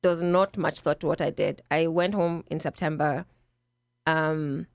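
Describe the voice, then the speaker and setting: A Kenyan woman with a lowish, slightly nasal voice talks through her story in a loose, chatty way. She restarts her sentences and acts out what she told herself. The recording is close and dry. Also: steady tone, a little slow, no room sound. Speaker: guest. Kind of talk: casual interview chat.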